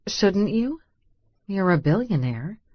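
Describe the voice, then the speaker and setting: A woman's voice: mid-range voice, very animated, slow pace, dry recording. A woman reading a scripted first-person romance audiobook, with slightly muffled sound. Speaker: audiobook narrator.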